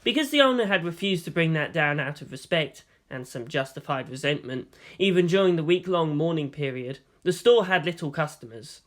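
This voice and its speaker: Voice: mid-range voice, animated, fairly quick, hardly any pauses. A narrator giving a scripted audiobook reading of a fan fiction story.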